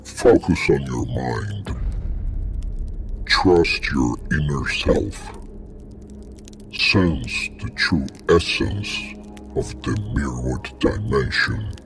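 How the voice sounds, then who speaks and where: A man playing a solemn ancient necromancer gatekeeper in an audio drama, gravelly, speaking in portentous, archaic formal phrases. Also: rumbling low, animated, very slow, long pauses, fairly bright tone, soft background music, full character voice. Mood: commanding, mystical.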